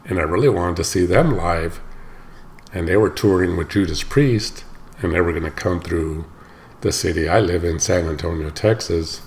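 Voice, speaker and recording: A man with a low, gravelly voice that is still slightly hoarse after an illness. He talks casually and rambles, often stopping to fix his own words. A faint hum of room noise sits under him. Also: moderate pace, darkish tone. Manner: rambling, stops often to correct himself. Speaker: reaction channel host. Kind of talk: casual talk to camera.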